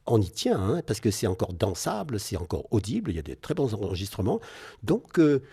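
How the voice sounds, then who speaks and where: Older male guest in his sixties, low husky voice, thoughtful and halting. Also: huge pitch swings, dark tone. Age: 60s. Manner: reflective, hesitant, with frequent fillers. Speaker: guest, singer and naturopath. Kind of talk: radio interview.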